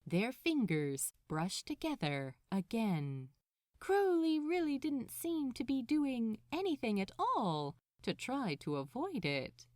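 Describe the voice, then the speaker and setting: A woman reading scripted fiction aloud as an expressive storyteller. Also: a little high, slow, no room sound, clean studio sound. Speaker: podfic narrator.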